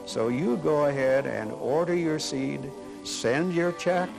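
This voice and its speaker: Very gravelly older man telling anecdotes. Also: a little high, slow, over music. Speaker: documentary interviewee. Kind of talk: anecdotal recollection.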